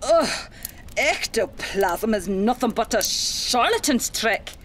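A woman playing a seasoned, genteel spiritualist medium in a radio play, speaking in a measured, dramatic way. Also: a little high, expressive, fairly bright tone, slight background noise. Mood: spirited.

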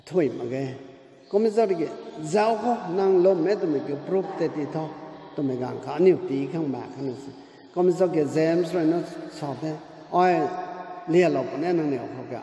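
A man's voice: a little high, warm tone. An older man with a Northeast Indian accent preaching a sermon as pastor, slipping English words into his talk. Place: church hall. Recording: echoey hall with long reverb.

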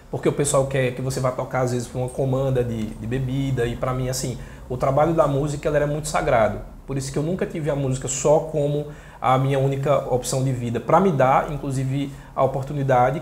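Soft-voiced northeastern Brazilian man, roomy sound. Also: medium pitch, a little hiss or hum. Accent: northeastern Brazilian, Pernambuco. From Caruaru, northeastern Brazil. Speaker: interview guest, singer-songwriter and journalist. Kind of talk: casual interview talk. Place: small indoor room. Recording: echoey small room.

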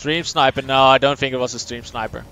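A young man from the Netherlands with a Dutch accent, chatting casually as he streams a game, answering chat and thinking out loud. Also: medium pitch, steady tone, quick pace, clear, resonant tone, poor audio. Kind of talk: live gameplay commentary, reading chat.

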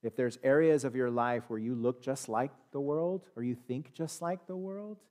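A man in his thirties with an American accent, preaching a sermon in a church sanctuary on a headset mic, swinging from loud emphatic peaks to quiet asides. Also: mid-range voice, moderate pace. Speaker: pastor. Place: church sanctuary, standing at a pulpit.

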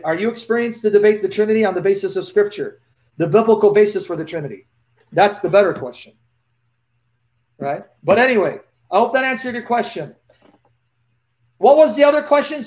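High-voiced man with a lisp. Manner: animated, preacherly, gestures while talking. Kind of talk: live Q&A, reading Bible verses aloud. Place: small dim indoor room. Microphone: laptop webcam, close.

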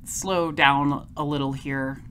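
A woman playing a polite, patient space patrol detective in a comedy audio drama, questioning in a measured deadpan. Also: sits low, clean tone. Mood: patient, firm.

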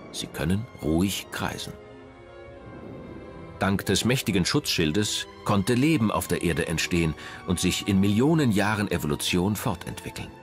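Male science documentary narrator: low register, animated melody, measured and formal reading.

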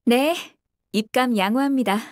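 A woman playing a courteous young female military operations announcer in a game, formal, measured and polite. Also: a little high, dry recording, clean studio sound, close to an everyday voice. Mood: composed. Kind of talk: scripted game voice acting, tactical radio briefing.

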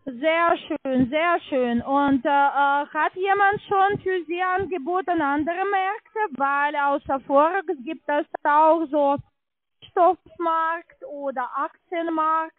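A woman's voice: high voice, takes it slowly, dry recording. A woman call centre agent surveying a customer, chatty and questioning, with non-native phrasing. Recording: tinny narrowband phone line.

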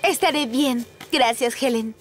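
A woman playing a plucky, good-humoured young female firefighter in an animated series dub, with an expressive, brave-faced delivery. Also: sits high, measured pace. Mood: relieved. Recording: loud music behind the dialogue.

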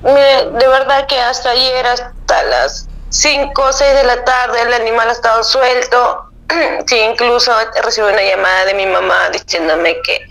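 A woman's voice: fairly high pitch, moderate pace, fairly bright tone. A young Peruvian-accented woman speaking spontaneously as an interviewee on a telephone call. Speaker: phone interviewee, mother.

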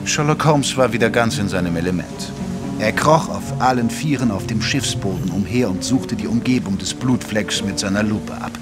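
An actor playing an earnest gentleman doctor who narrates a German audio drama in a measured, literary way. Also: lowish voice, loud music behind the voice, close to an everyday voice. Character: earnest gentleman doctor narrating his detective friend's case.

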